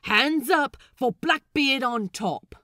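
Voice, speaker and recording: Woman voicing an insistent, excitable male pirate crewman. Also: high-pitched, takes it slowly, no room sound, clean studio sound, voice pushed up, heavily acted. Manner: insistent, hesitant interjections. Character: flustered, stubbornly opinionated male pirate crewman.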